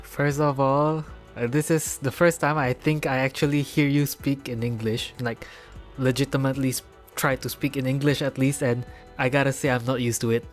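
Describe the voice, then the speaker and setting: A man's voice: medium pitch, fairly even intonation, normal speed. A man with a mixed Indonesian-Singaporean accent and a mid-range voice, co-hosting a casual podcast. He rambles loosely and jokes around, with plenty of filler words and faint music underneath. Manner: joking, loose, filler-heavy. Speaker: podcast co-host. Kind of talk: unscripted casual chat.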